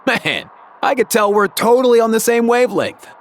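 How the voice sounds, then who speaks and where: A man's voice: very high voice, animated, normal speed, a little hiss or hum. An actor playing a laid-back, enthusiastic young male veterinarian in a video game, talking in chummy surfer-dude slang. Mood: upbeat, admiring. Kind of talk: video game character acting.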